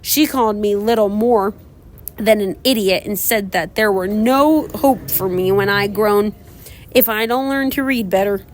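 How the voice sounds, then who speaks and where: A woman reading aloud as a sulky, self-pitying young girl who speaks in a slow, whiny way. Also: mid-range voice, a little hiss or hum, lightly acted.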